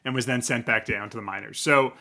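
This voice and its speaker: Man, podcast co-host, bright crisp tone, rambling joking chat. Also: mid-range voice, fast. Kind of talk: casual chat and interviewing.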